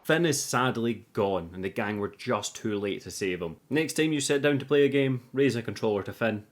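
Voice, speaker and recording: A man's voice: medium pitch, normal speed, slight background noise. A man presenting a movie recap as an enthusiastic, informal commentary with casual swearing. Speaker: movie review host.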